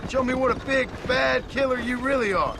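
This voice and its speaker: Weary male gunslinger, American Western accent, heavy vocal fry, terse, sardonic, stern, game cutscene, noisy background. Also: very high-pitched, normal speed. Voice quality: gravelly. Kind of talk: video game cutscene acting. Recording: noisy game effects behind.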